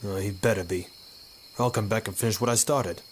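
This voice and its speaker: A man's voice: fairly low pitch, steady tone, brisk, very noisy, lightly acted. A man playing a hard-boiled, sardonic photographer turned sleuth in a 1950s noir radio drama, with wry, tough-talking delivery. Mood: menacing.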